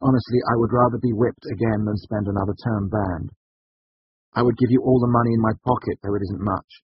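Man playing an earnest, husky, pleading young student. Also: on the low side, fairly even intonation, fairly quick, no room sound, muffled recording, pitched above the performer's own voice. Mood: earnest, hopeful. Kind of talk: audiobook character dialogue.

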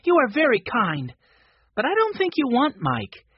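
Narrator voicing an earnest girl, raised lilting pitch. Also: huge pitch swings, normal speed, slightly rough, dry recording, lo-fi sound, heavily acted. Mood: grateful, earnest. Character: earnest, kind young girl.

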